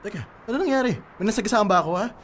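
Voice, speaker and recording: A man playing a devoted but exasperated young Filipino boyfriend in a radio drama, expressive and coaxing. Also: sits high, very fast, slight background noise. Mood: alarmed, exasperated. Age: young adult. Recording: music bed under the voice.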